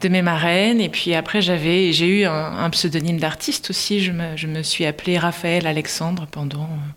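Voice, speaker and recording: Actress guest from Nice, lowish voice, halting, chatty, laughs a lot. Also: female voice, steady tone. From Nice, France. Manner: hesitant, many fillers and restarts, laughs often. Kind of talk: interview answers.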